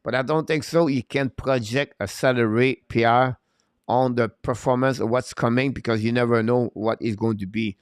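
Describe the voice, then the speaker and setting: A man's voice: medium pitch, fairly even intonation, moderate pace, clear, resonant tone, dry recording, studio quality. A man hosting a live hockey stream, giving unscripted, emphatic opinions and answering chat. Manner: opinionated, emphatic, repeats points.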